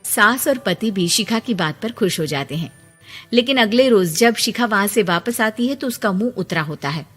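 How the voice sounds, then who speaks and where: A woman's voice: medium pitch, expressive, normal speed, a bit bright, breathy edge. A woman reading a scripted moral story as its narrator. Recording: long reverb, music bed behind. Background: background music.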